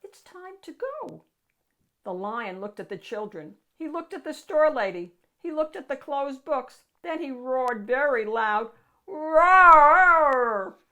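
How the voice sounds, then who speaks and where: A woman reading a picture book aloud to children in an animated, expressive storytelling style with dramatic emphasis. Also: fairly high pitch, measured pace, hardly any pauses.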